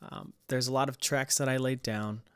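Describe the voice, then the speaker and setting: A man hosting a casual music production tutorial, explaining in a hesitant, halting way. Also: mid-range voice, steady tone, a bit bright, smooth, no echo, clean studio sound. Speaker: tutorial host.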